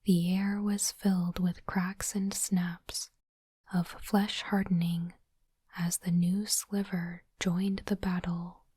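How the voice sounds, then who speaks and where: A woman whispering gently and breathily in an ASMR style, in unhurried short phrases, close to the microphone. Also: fairly low pitch, flat delivery, dry recording. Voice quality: soft, breathy unvoiced whisper. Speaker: ASMR host unboxing trading cards. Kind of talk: whispered ASMR, reading cards and commenting. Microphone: close microphone, dry.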